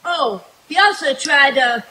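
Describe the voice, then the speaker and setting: Bright swoopy country gal played by a woman, corny radio comedy, outdoor stage with some noise. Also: sits high, expressive, measured pace, heavily acted. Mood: jokey. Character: folksy country woman trading corny farm jokes. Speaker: actor in a live old-time radio show. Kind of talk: old-time radio comedy acting. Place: outdoor night stage in the woods. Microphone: stage microphone, filmed by distant camera. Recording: slightly noisy outdoor stage recording.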